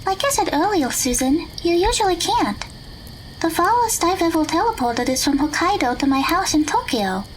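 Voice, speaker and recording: A woman playing a gentle, polite teenage magical girl in an audio drama, speaking matter-of-factly. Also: extremely high pitch, big pitch swings, moderate pace, slight background noise, poor audio. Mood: explaining.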